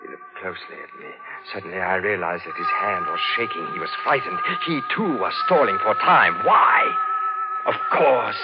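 An actor playing a brooding, unhinged murderer in 1940s radio style, halting and dramatic, swinging from hushed to frenzied. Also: male voice, low voice, huge pitch swings. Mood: tense. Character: unhinged male murderer, haunted, brooding and bitter. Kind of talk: 1940s radio acting, first-person suspense narration. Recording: dull vintage radio recording with music behind.

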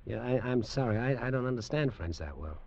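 A man's voice: mid-range voice, lively intonation, fairly quick, darkish tone, no echo. An actor playing a nervous, hesitant drinking man in an old radio drama. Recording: muffled vintage radio recording.

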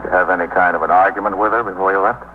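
A male actor as a police investigator from a 1950s radio drama. The voice is deep and fast, terse and probing. It comes through a narrow, slightly noisy old recording. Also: fairly even intonation, close to an everyday voice. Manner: terse, matter-of-fact, probing. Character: businesslike male police investigator questioning a husband.

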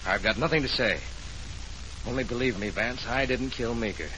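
Man playing a sharp-voiced, defensive murder suspect. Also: medium pitch, moderate pace, close to an everyday voice. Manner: defensive, guarded. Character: defensive, cornered businessman under suspicion. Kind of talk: 1940s radio drama acting. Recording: noisy vintage 1940s radio recording.

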